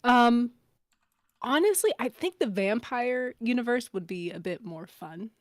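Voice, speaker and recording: A woman's voice: fairly high pitch, animated, measured pace, no room sound, studio quality. A woman guest chatting casually, thinking aloud in a hesitant, teasing way. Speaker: guest on an audio drama after-show. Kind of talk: casual chat.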